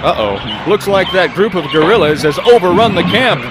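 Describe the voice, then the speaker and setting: Man as high-pitched wisecracking jungle tour guide. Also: big pitch swings, moderate pace, very noisy. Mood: breezy patter. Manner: patter of corny puns to passengers. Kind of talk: comic radio serial acting. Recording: loud outdoor engine ambience behind.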